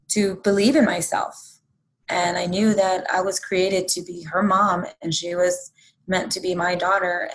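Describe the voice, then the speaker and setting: A woman's voice: lowish voice, rough recording. A woman guest in a casual interview, earnest and reassuring, often laughing between thoughts. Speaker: guest mother.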